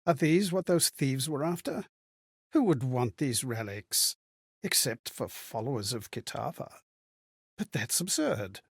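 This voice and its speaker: Husky, haunted, bewildered man. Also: medium pitch, animated, no echo, clean studio sound. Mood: reflective, puzzled. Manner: theatrical, halting, wondering. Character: haunted, bewildered man recalling fragmented, strange memories. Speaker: video game voice actor. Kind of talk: dramatic game character monologue.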